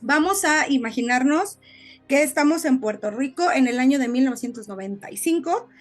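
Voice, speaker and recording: Female podcast co-host, Mexican accent, high voice, chatty storyteller, light music underneath. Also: animated, moderate pace. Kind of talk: casual conversational storytelling.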